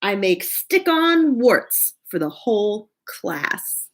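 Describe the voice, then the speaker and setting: A woman reading a picture book aloud to children in an expressive, deliberate way, as a children's librarian. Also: medium pitch. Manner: animated, drawn-out, deliberate delivery.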